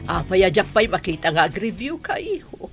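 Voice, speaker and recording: A woman playing a stern, doubting old grandmother in a radio drama, with a husky, admonishing delivery. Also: medium pitch, big pitch swings, brisk, soft background music. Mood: scolding. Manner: admonishing, questioning.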